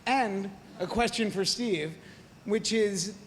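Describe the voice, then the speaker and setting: A man's voice: sits high, slow pace. A man, a fellow journalist in the audience, giving an earnest and reflective remark at a panel. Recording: reverberant hall, faint noise.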